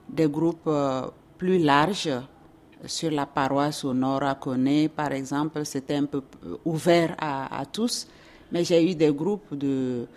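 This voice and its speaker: Low-voiced woman in her fifties, measured. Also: a little mellow. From Togo, born in Ghana. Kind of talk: interview answers.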